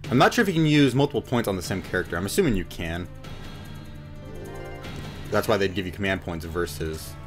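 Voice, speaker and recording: A man giving joking, sarcastic gameplay commentary as host on a headset. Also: low voice. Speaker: let's play host. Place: small room indoors. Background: game music.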